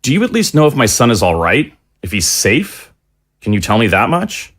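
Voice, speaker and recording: A man's voice: medium pitch, wildly swooping pitch, normal speed, a bit bright, slightly rough, exaggerated voice. A man playing an earnest, plain-spoken father searching for his son in an audio drama. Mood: anxious.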